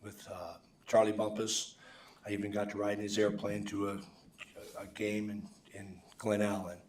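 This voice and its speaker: A man in his sixties with a hoarse voice, giving halting public testimony as a softball umpire. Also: lowish voice, little pitch movement. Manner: halting, many restarts. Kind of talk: public comment at a council meeting. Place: council chamber. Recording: echoey council chamber, distant mic.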